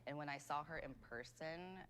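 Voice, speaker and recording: A woman's voice: low voice, moderate pace, fairly bright tone, a little hiss or hum. A woman recruiter speaking earnestly and admiringly in a documentary interview. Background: music.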